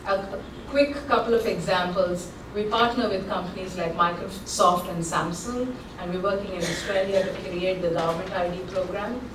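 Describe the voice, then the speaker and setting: A woman's voice: on the low side, fairly even intonation, normal speed. A woman giving a keynote speech, speaking in an earnest, confident corporate way. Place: large conference hall. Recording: echoey hall, distant mic, background noise.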